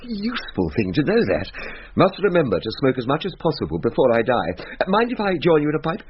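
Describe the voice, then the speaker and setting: An actor playing a nervous, polite, bumbling English gentleman with a stammering, apologetic manner in a comic radio drama. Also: on the high side, wildly swooping pitch, quick pace. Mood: polite, flustered. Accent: British. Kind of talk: comic ghost-story radio drama acting. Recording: muffled lo-fi audio with faint noise.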